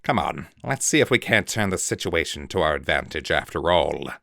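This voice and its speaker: A man voicing a scheming male villain who stays icily calm and hisses his threats. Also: a bass voice, normal speed, vocal fry, no echo, studio quality, heavily acted. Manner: icily calm, hissing threats, then screaming denials. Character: sly cold male villain, spectacled mutineer.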